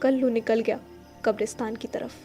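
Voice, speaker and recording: A woman's voice: fairly high pitch, level tone, brisk, dark tone, husky. A woman narrating a literary story in a dramatic audio-play style. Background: faint music.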